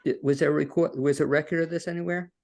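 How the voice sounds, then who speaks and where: A man's voice: on the high side, fairly even intonation, fast talker, creaky. A man hosting an interview podcast from his home studio over a video call, talking in a folksy, rambling way. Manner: folksy, rambling with fillers and restarts. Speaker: podcast host.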